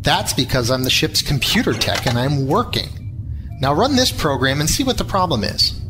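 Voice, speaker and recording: A man acting a spaceship computer geek in an audio drama. He grumbles with dry sarcasm and wounded pride, his pitch moving a lot. Music is clearly audible behind him. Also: very animated, moderate pace. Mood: dry, distracted. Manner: dry, sarcastic, put-upon. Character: grumbling, self-important male spaceship computer tech, dryly sarcastic. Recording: loud music bed under the voice.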